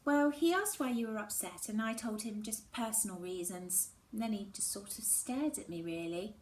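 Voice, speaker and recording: A woman's voice: medium pitch, moderate pace, lightly acted. A woman reading the part of a guarded but reassuring schoolgirl heroine in a storybook, earnest and hesitant. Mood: awkward. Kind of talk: storybook character dialogue. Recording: roomy echo with faint background noise.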